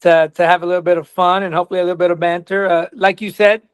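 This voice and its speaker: A man's voice: sits high, level tone, rapid-fire, clear, resonant tone, dry recording. A man in his forties from Colombia, raised in Texas, with a slight Texas accent, a college soccer coach telling his story earnestly as a podcast guest. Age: 40s. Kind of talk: podcast interview.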